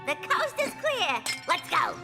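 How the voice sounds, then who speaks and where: A woman playing a cocky, wisecracking female villain with a squeaky delivery in a video game. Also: huge pitch swings, a little slow, vocal fry, over music, heavily acted. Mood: brash, sneaky.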